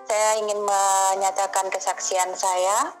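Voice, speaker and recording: A woman's voice: mid-range voice, level tone, low-quality recording. Woman telling her story. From Medan, Indonesia. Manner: slow, hesitant storytelling. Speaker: migrant worker giving a testimony. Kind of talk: personal testimony. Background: music.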